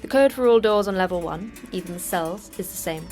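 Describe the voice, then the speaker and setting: An actress playing the terse, dry manager of a secret prison for monsters in a sci-fi horror audio drama, speaking in a clipped, matter-of-fact way. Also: female voice, on the low side, lively intonation, a little fast, music in the background, natural voice. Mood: dry, instructive.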